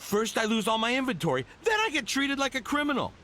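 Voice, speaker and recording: A man's voice: extremely high pitch, very animated, fast talker, sharp tone, heavily acted. An actor voicing a shifty, nervous male merchant who stammers and pleads. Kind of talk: video game voice acting.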